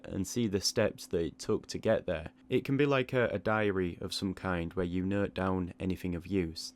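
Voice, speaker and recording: A man giving a reflective advice monologue in a measured, explanatory way, sometimes repeating small words mid-sentence. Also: low-pitched. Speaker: online art channel creator.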